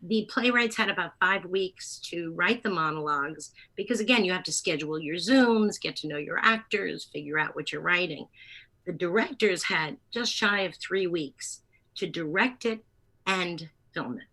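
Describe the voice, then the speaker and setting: Lower-pitched woman in a halting interview. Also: sharp tone, poor audio. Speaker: interviewee, theater producer and playwright. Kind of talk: conversational interview, partly reading from notes.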